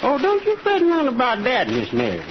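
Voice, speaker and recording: A muffled, hissy old-time radio western recording of a bashful Southern cowhand. He speaks in a high, swooping voice, simple, honest and politely folksy. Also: huge pitch swings, moderate pace, full character voice. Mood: earnest. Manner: folksy, drawling, deferential.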